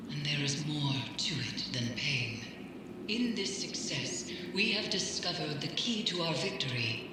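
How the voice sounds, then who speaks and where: Heavily echoing, solemn mystic leader. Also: female voice, low-pitched. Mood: grave, commanding. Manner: grave, measured, formal. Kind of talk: video game dialogue acting. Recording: very echoey long reverb, loud ambient noise.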